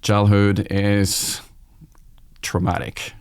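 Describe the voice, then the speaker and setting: A man in his forties speaks English with a French accent, his low voice open and reflective as he tells his story. The sound is dry and close, with no room around it. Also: completely flat delivery, extremely slow, a little mellow, clean tone, no echo. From France. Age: 40s. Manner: reflective, open, quoting his own thoughts aloud. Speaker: guest chef. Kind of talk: candid podcast conversation.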